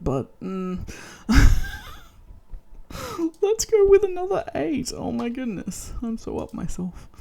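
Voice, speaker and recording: A young Australian woman from Tasmania, chatting casually and thinking aloud as she rates her own writing, self-deprecating and quick to laugh at herself. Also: mid-range voice, gravelly, dark tone. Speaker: vlogger. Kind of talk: casual self-rating chat.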